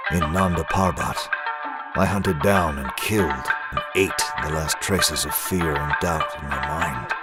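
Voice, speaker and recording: A man playing a grim, relentless vigilante hero in a motion comic, speaking with controlled intimidation over loud dramatic music. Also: a bass voice. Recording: loud dramatic score and storm behind voice.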